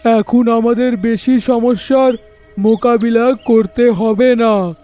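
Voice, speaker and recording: A man voicing a simple, weary ghost husband and father in a cartoon story, with a drawn-out delivery. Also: extremely high pitch, steady tone, warm tone. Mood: pleased.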